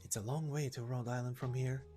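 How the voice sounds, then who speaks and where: A man acts out a reserved young woman researcher from a game story. She sounds earnest, quiet and guarded. Music runs behind the voice. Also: mid-range voice, fairly even intonation, fairly quick, voice pushed down, natural voice. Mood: relieved, tired.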